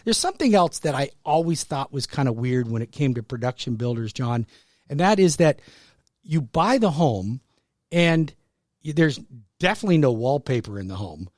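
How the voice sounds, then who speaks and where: A man in his sixties hosting a radio talk show, pitching real estate with emphatic, repetitive salesmanship. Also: big pitch swings, moderate pace, a little mellow, dry recording, clean studio sound. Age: 60s.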